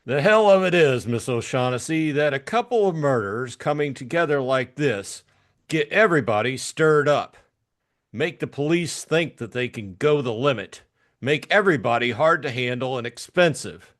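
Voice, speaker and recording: A man's voice: medium pitch, animated, normal speed, natural voice. A man playing a cool, cynical private detective in an audiobook, speaking in a blunt, sardonic, matter-of-fact way. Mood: firm, blunt. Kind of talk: audiobook character acting.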